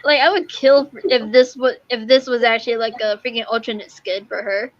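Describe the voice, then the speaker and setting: A woman's voice: fairly high pitch, fairly quick, no room sound, highs cut off. A woman giving casual, slangy gameplay commentary in a giggly, gushing manner. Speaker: gaming streamer.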